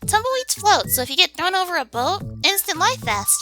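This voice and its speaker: High, very bright woman chatting. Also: very animated, brisk, faint music behind. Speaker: co-host of a fan review video. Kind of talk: casual chat, commentary.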